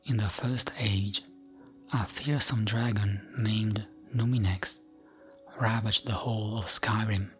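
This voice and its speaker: A man narrating fantasy lore as soothing ASMR, in a gentle, hushed voice that often slips into a whisper, with music behind him. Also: on the low side.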